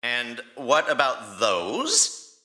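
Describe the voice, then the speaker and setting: A man's voice: medium pitch, wildly swooping pitch, very slow, sharp tone, exaggerated voice. A man voicing an angry young dragon rider who scolds his pet indignantly in an audio storytelling. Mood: exasperated, angry.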